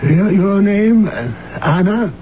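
On a narrow-band vintage radio recording, a protective, paternal older lawyer uncle. His voice is notably high for a man, and he speaks slowly, in flustered, halting phrases. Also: animated. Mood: resigned, paternal. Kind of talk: old-time radio drama acting. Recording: muffled, noisy old radio broadcast recording.

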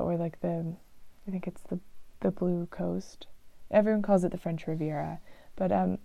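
A woman chatting casually in a travel vlog, rambling and relaxed, with frequent ums and restarts, on a dull, lo-fi recording. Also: fairly low pitch, gravelly, no echo. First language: English. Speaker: vlogger.

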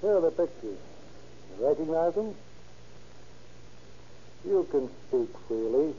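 An actor playing a confident male police inspector in an old radio drama, questioning suspects in a calm, dry way. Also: on the high side, measured pace, a little hiss or hum. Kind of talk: 1930s radio acting. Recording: dull old radio recording.

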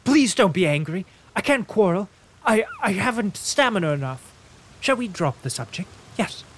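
Fussy invalid gentleman, high and whining, petulant and affected, put on by a male narrator. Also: very animated, normal speed, slight background noise. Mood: fretful.

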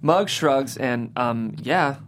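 A man's voice: mid-range voice, slow pace, fairly bright tone, a little hiss or hum. A man hosting a podcast table read, talking casually and reading out script directions. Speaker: host, reader of stage directions.

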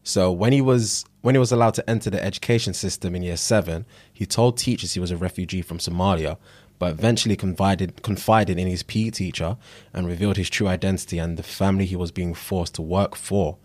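A British man with a London accent and a fairly low voice, recorded very dry. He co-hosts a podcast in casual, slangy conversation, often repeating phrases to drive them home. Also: steady tone, a little fast, a little mellow, no room sound. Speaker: podcast co-host.

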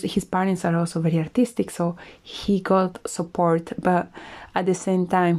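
Smoky-voiced woman, husky after a flu. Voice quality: smoky, low voice. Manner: reflective, chatty, with soft laughs and restarts. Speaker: podcast host. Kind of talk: casual solo podcast monologue.

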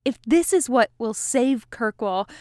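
A woman's voice: fairly high pitch, animated, measured pace, a little mellow, no room sound, studio quality. A woman voicing a proud, pious male mage in a fantasy audiobook, solemn and declamatory. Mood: proud, resolute.

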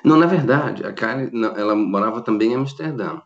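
Carioca guy in his 50s, kinda low voice, lots of restarts, muffled call audio. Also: expressive, brisk, vocal fry. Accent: Rio de Janeiro Brazilian Portuguese. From Brazil. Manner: rambling, many restarts, laughs often. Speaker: guest. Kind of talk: casual interview chat. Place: at home in Australia. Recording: dull lo-fi video call audio.

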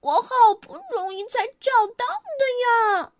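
A woman voicing an excitable, bold male rabbit adventurer who talks in eager exclamations for a children's storybook. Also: sits high, a little slow, no room sound, studio quality, pitched above the performer's own voice. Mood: excited.

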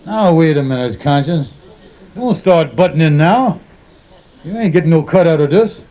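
A man's voice: on the high side, animated, moderate pace, dark tone, natural voice. A man playing a blustery, scheming small-time con man and lodge leader in a 1950s radio comedy. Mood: irritated, defiant. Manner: blustery, hesitant when plotting. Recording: noisy vintage 1950s radio recording.

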